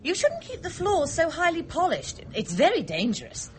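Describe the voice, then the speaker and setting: A woman's voice: very high-pitched, huge pitch swings, normal speed, exaggerated voice. An actress playing a tactless, needling young woman passenger in a radio drama, arch and provocative, recorded over ambient noise. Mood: pointedly provocative. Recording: noisy ambience behind the voice.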